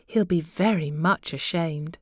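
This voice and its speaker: Woman playing a soft, measured, wise queen. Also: low voice, no echo, clean studio sound. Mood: confiding. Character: wise, gentle, beautiful queen, calm and conspiratorial.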